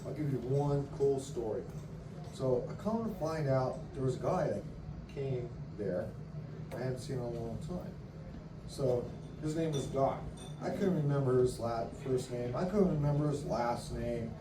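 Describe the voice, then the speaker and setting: A man's voice: medium pitch, unhurried, background noise. Joking man telling a story. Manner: lively, jokey anecdote with quoted dialogue. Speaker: submarine veteran member. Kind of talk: casual storytelling at a meeting. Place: meeting hall. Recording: echoey room, far from the mic.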